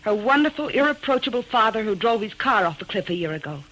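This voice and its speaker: Bitter, wounded widow. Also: female voice, on the high side, big pitch swings, fast talker, fairly bright tone, slight background noise, poor audio. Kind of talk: 1940s radio acting. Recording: old radio drama recording.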